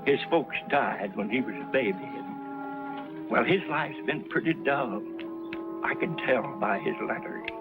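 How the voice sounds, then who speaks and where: A man's voice: medium pitch, expressive, unhurried, gravelly, over loud music, rough recording. An actor playing an ashamed, self-doubting old grandfather in a Western, with a folksy American accent. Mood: regretful. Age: older. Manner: folksy, rustic speech.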